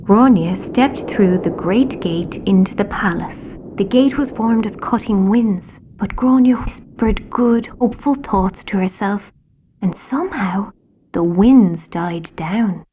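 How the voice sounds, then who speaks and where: A woman with an Irish accent narrating a children's story from a script in a measured way, hushing at the ends of phrases. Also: medium pitch, a little hiss or hum, low-quality recording. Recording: clear music behind.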